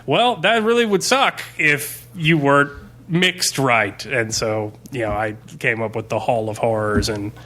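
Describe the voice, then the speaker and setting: A man's voice: medium pitch, a little slow, pushed and bright. A man talking casually on a podcast as a game master, rambling through stories and swearing freely.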